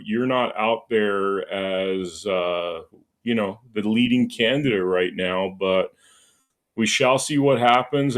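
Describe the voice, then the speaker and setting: A man from Los Angeles hosting a podcast interview in a casual chatty way, often saying "man" and "like". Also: fairly low pitch. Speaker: podcast host.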